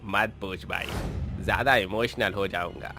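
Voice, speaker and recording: A man's voice: fairly low pitch, fairly even intonation, unhurried, gravelly, music in the background. A man voicing boozy, troubled drinkers in a cartoon story, with exaggerated, emotional delivery. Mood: gloomy. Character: boozy, troubled men drinking to forget sorrows. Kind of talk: animated moral story dialogue.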